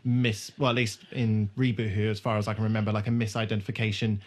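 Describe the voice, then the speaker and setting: A man's voice: lowish voice, level tone, fast. A man co-hosting a podcast, chatting casually as he talks through a rewatch. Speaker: podcast co-host.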